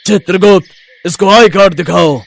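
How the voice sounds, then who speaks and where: A man's voice: high voice, moderate pace, creaky, dry recording. A man voicing a stern, formal god of death who gives patient but insistent commands in a moral story. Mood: commanding. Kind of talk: animated Hindi moral-story voice acting.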